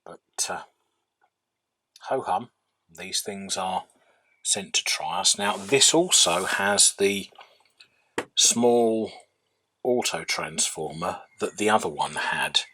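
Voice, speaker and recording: A man's voice: low-pitched, lively intonation, slow, long pauses, bright, forceful tone, husky, low-quality recording. A man giving an unscripted, hands-on workbench narration as a hobbyist, hesitantly thinking aloud. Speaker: hobbyist radio restorer.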